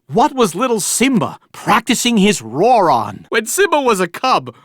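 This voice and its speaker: A man's voice: high voice, huge pitch swings, measured pace, no room sound, clean studio sound, heavily acted. An actor voicing a wisecracking male meerkat who hosts a video game quiz, chatty and laughing at his own jokes. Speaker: quiz host.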